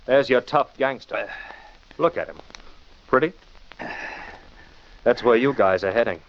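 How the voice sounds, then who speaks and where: An actor playing a confident, cool amateur detective in 1940s radio style, assured and commanding. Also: male voice, medium pitch, a little slow, crowd noise, natural voice. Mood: assured, stern. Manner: taunting, assured, crisply commanding. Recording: muffled, noisy 1940s radio recording.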